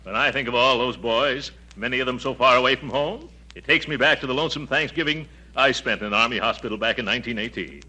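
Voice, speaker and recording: An actor playing a pompous but kindly middle-aged uncle in 1940s radio comedy, with hesitant hems and hearty chuckles. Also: male voice, medium pitch, quick pace, hardly any pauses, bright, forceful tone, breathy edge. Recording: noisy, crackly old radio broadcast.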